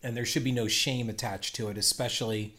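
A man co-hosts a casual podcast in an ordinary mid-range voice. He talks in a measured way and explains his points at length, often restarting his sentences. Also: mid-range voice, steady tone, bright, forceful tone. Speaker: podcast co-host.

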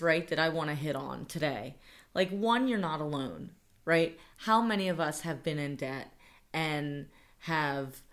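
Woman, young adult, podcast co-host, upbeat, chatty, slightly low voice. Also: fairly bright tone. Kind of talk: casual podcast chat.

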